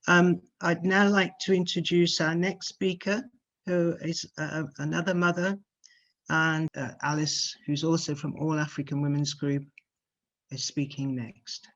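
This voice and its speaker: A woman's voice: deep voice, flat delivery, a little slow. Hesitant woman chairing, slightly dull audio. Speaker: panel chair introducing speakers. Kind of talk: unscripted event hosting.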